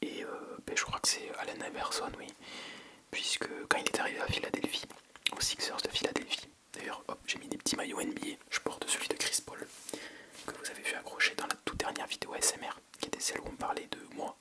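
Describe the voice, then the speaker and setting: Whispered French ASMR voice, soft and breathy. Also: quick pace. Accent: French from France. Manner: hesitant, informal, thinking aloud. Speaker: ASMR video creator. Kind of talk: ASMR whispering, casual unscripted quiz chat.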